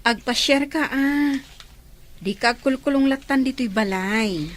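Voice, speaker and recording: A woman's voice: high voice, a little slow. A woman with an Ilocano accent playing a caring, coaxing older sister in an audio drama. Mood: coaxing, apologetic.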